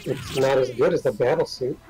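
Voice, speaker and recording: A man's voice: medium pitch, a little fast, warm tone, rough recording. Man giving casual game advice over music. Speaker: game co-commentator. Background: video game music.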